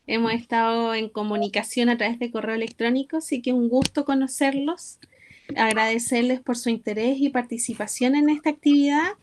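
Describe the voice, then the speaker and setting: A project coordinator greets participants on a video call, polite and organized. Her voice is high. Faint background noise and clicks run under it. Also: steady tone, fairly quick. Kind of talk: formal welcome speech.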